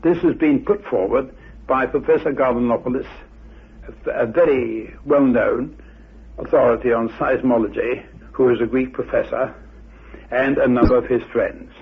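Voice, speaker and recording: An older man giving a measured, formal explanation in deliberate, scholarly phrases. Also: mid-range voice, breathy edge. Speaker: expert interviewee. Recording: muffled, phone-like old radio recording.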